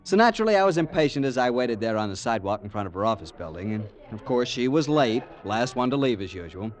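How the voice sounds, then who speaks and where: An actor playing an impatient young American fiancé who narrates in a wry, conversational way, in vintage radio drama style. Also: male voice, medium pitch, very animated, fast talker, lightly acted. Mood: wistful, impatient. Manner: wry, conversational first-person storytelling with teasing banter. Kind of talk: 1940s-50s radio drama acting. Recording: vintage radio recording, faint music behind.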